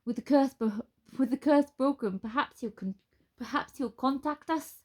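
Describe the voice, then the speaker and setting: A woman voicing a grumpy male wooden spoon with a moustache, attempting a Scottish accent and talking gruffly and loudly. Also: sits high, normal speed, pitched above the performer's own voice. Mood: urgent. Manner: shouting, gruff.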